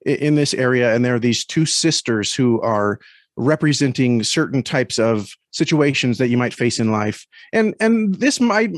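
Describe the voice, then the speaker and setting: A man speaking as an interview guest in a thoughtful, conversational way, often halting as he searches for words. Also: mid-range voice, no echo, clean studio sound.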